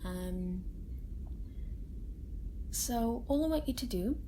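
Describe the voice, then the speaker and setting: Kind female sci-fi doctor, played by a woman: soft ASMR delivery, unhurried, gentle and reassuring, faint ambient bed. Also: a little high, rough recording. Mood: calm, instructive. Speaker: ASMR roleplay performer. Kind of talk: soft-spoken ASMR roleplay. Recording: steady ambient noise bed. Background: sci-fi room ambience.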